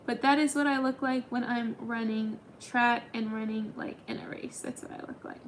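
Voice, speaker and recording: A woman's voice: a little high, steady tone, moderate pace, a little hiss or hum. A woman in her twenties hosting a casual livestream, chatting and answering viewer comments with lots of ums. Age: 20s. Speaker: livestream host.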